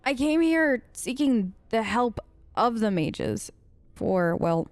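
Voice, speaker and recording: A woman let's play host speaking in character in a casual, earnest way. Her voice is fairly high, smooth and clear, recorded very dry. The phrasing is informal and conversational. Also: sing-song, slow, no room sound. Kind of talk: casual improvised in-character gameplay talk.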